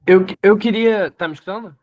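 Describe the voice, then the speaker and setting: A man's voice: high-pitched, a little fast, lo-fi sound. A man joining remotely who stumbles and restarts as he asks his question. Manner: hesitant, stumbling. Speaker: remote participant asking a question.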